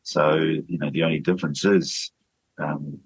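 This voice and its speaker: A man's voice: extremely low pitch, steady tone, unhurried, husky, no room sound, rough recording. A man leading a church, speaking earnestly and steadily in a radio interview, with fillers and restarts. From New Zealand. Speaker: church leader.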